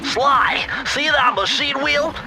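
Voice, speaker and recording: A man's voice: very high-pitched, slow pace, gravelly, heavily acted. An actor playing a brainy, know-it-all male sidekick in a video game cutscene, talking in fussy, wordy explanations. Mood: explaining. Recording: game audio with music behind.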